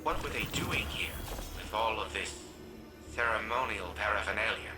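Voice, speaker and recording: A man's voice: fairly low pitch, expressive, moderate pace, music in the background, natural voice. A man playing an imperious older scientist in a video game, speaking in a formal, lecturing way. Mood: dismissive. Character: imperious, dismissive elderly scientist grandfather, proud and calculating. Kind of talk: video game dramatic acting.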